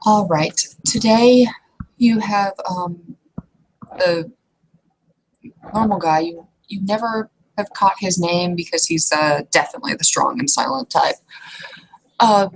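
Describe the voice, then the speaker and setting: A woman narrating a tabletop roleplaying game as game master in a halting, conversational, improvised way. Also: on the low side, low-quality recording. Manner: halting, conversational, piecing descriptions together. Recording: clear background noise.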